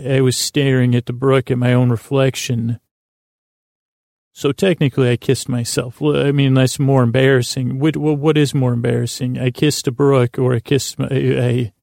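A man with a gravelly, creaky voice hosts a podcast, recorded close and dry. He wanders through a whimsical monologue, stopping often, doubling back on words and drifting off on silly tangents. His pitch sits in an ordinary male middle range. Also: level tone, a little mellow, dry recording. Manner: halting, digressive, with long pauses and repeated words; silly, absent-minded. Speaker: podcast host. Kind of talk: rambling whimsical monologue meant to distract listeners.

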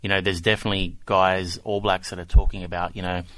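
A man's voice: deep voice, level tone, fast, fairly bright tone, no echo, rough recording. A man hosting a podcast, asking questions in an easygoing way with lots of fillers. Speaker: podcast host. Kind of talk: casual podcast interview.

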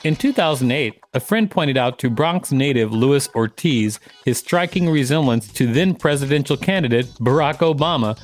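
A man's voice: medium pitch, lively intonation, normal speed, hardly any pauses, soft background music. A man co-hosting a podcast, reading an article aloud and commenting casually. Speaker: podcast co-host.

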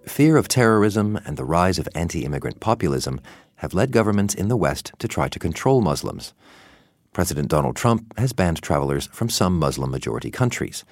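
A man's voice: low voice, dry recording, clean studio sound. A man narrating a scripted news report as a podcast journalist.

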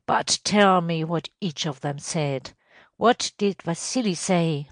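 A woman voicing a domineering, vindictive male estate superintendent in a storybook reading, questioning loudly and emphatically. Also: lowish voice, slow pace, no echo. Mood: demanding, mocking. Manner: loud, emphatic interrogation.

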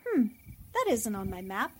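A woman's voice: medium pitch, sing-song, normal speed, darkish tone, slight background noise, natural voice. A woman playing a plucky, wisecracking young newspaperwoman sleuth in a 1940s-style radio drama, with a flippant, arch way of talking. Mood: muttering, wry. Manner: talks to herself, flippant, arch.

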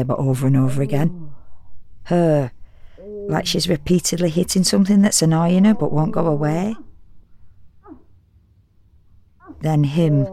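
An actress playing a frank, wry, solitary woman in an audio drama monologue, confiding in an intimate, deadpan way in short, halting phrases. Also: sits low, creaky, exaggerated voice. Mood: pained, deadpan. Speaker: actor performing a solo audio drama. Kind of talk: first-person dramatic monologue, confessional and conversational.

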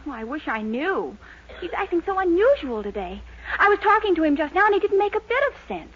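An actress playing a lively, exasperated young woman, in an expressive 1940s radio sitcom style. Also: very high voice, brisk. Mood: fretful. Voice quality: bright, expressive comedy delivery. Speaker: Dennis's girlfriend Mildred. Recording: dull old radio broadcast.

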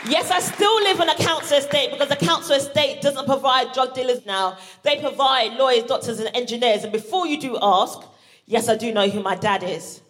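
A woman's voice: sits high, fairly quick, fairly bright tone, faint music behind. A woman from Barbados with a South London accent, doing a brash, punchy stand-up set at a live comedy show. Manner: brash, punchy, playful with the crowd. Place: live comedy venue with audience. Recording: live show with audience laughter.

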